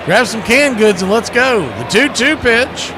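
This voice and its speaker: A man's voice: very high voice, big pitch swings, unhurried, crowd noise. A man doing live baseball play-by-play in a conversational, folksy way, mixing the call with casual asides. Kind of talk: live radio sports commentary with casual asides. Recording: noisy ambience behind the voice.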